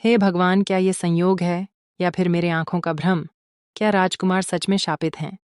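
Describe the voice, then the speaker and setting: A woman's voice: on the low side, flat delivery, normal speed, a little mellow, clean tone, no room sound, clean studio sound, natural voice. A woman playing a brave, kind-hearted young princess in a cartoon story, speaking earnestly. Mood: startled. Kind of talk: Hindi animated moral story dialogue.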